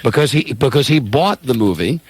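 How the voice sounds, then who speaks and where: Male radio host, playful and theatrical, highly varied intonation, conversational live delivery. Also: expressive, fairly quick, husky, no echo. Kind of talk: casual live radio banter.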